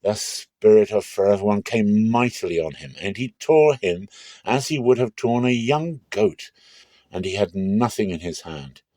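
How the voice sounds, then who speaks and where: Low-voiced man reading scripture dramatically. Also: expressive, moderate pace, mellow, no echo. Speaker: narrator.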